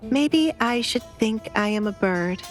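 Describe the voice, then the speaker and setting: A woman's voice: fairly high pitch, animated, a little slow, soft background music, pitched above the performer's own voice. A woman voicing a bitter, defiant young noblewoman in an audio drama, blunt and sarcastic, with light background noise.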